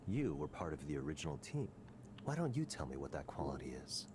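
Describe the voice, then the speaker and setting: An actor playing a smug, calculating tech executive in a video game, speaking in a smooth, condescending, deliberate way. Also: male voice, a bass voice, big pitch swings, background noise. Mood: probing, needling. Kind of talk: scripted visual novel dialogue.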